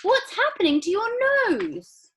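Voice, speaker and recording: A frantic storybook mother, voiced by a woman reader shrieks in a piercing, high voice. Her voice leaps wildly up and down. She never stops panicking. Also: huge pitch swings, takes it slowly, full character voice. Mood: panicked. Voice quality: shrill, frantic. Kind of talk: storybook read-aloud.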